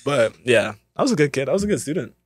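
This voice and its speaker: A young man, a podcast co-host, telling casual stories with animated voices for the people he quotes. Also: mid-range voice, fast talker, husky, dry recording. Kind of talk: casual storytelling.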